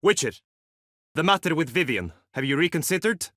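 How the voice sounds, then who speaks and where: An anxious lovesick knight for a video game, very formal old-timey talk, pitch all over, dry sound. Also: male voice, big pitch swings, brisk, a bit bright, no echo. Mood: troubled, confiding. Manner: formal, archaic courtly phrasing. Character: earnest lovesick chivalrous knight, courtly and anxious. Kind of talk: video game character acting.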